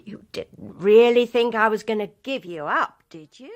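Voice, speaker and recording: A woman plays a possessive lover in a radio drama. She is intense and gloating, full of menace, with a very animated voice that swoops up and down. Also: on the high side, moderate pace, dull audio, natural voice. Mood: possessive, menacing.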